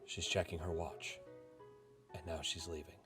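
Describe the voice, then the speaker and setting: A man voicing a reserved, brooding young office worker who narrates a visual novel in a subdued, measured way. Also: deep voice, little pitch movement, pitched below the performer's own voice. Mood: wistful. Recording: music playing behind the voice.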